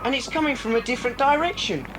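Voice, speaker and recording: A man's voice: extremely high pitch, expressive, quick pace. A male actor playing a confident, reassuring British schoolboy in a children's adventure audio drama. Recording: noisy old cassette recording.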